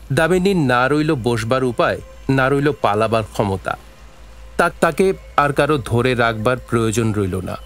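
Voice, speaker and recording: A man reads scripted story narration for an audio drama. His voice sits at a middle pitch, with faint music playing behind it.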